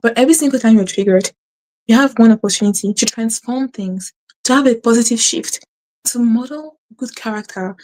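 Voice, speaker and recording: A woman host who talks fast with a stutter and many restarts, giving earnest faith-based advice to her audience. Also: fairly high pitch, a little mellow, low-quality recording. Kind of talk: casual spiritual advice to camera.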